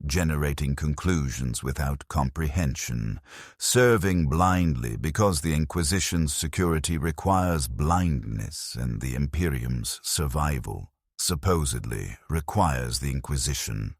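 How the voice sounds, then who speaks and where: A man narrating a scripted first-person story in a somber, measured, bleak way into a close mic. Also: rumbling low, husky, no room sound.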